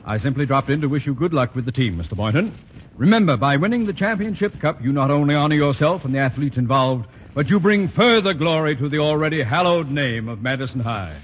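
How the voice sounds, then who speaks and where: A self-important principal booms grand speeches, as an actor plays him in a vintage radio comedy. His voice sits a little high and swings lively. A light hiss runs behind him. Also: a little fast, lo-fi sound, natural voice. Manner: pompous, blustering. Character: pompous blustering male school principal, middle-aged. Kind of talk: 1940s radio sitcom acting.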